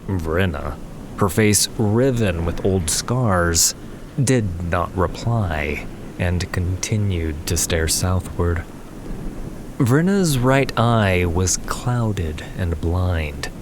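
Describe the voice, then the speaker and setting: A man narrating a scripted fantasy story in a measured, solemn manner. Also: sits low, background noise. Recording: faint ambience behind the voice.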